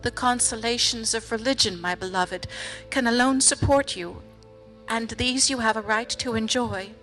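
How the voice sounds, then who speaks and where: An actress voices a devoted early American wife in a historical drama. Her voice sits a little high, with music underneath. She reads a farewell letter in a measured, tender and solemn way. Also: a bit bright, lightly acted. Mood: loving, solemn. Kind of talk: dramatic reading of a period letter.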